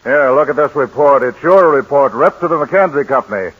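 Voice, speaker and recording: An actor playing a smug, businesslike rival pollster in a 1940s radio drama, lecturing in tone. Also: male voice, mid-range voice, animated, a little fast, breathy edge. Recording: thin phone-like old radio recording.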